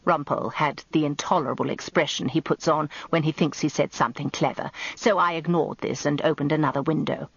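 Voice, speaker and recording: An actress playing a sharp-tongued, merciless older wife in a radio drama, British, with a dry, imperious manner. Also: female voice, deep voice, steady tone, a little fast, creaky, hardly any pauses, breathy edge, no echo, dull audio, full character voice. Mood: dry disapproval. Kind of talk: radio drama first-person narration and dialogue.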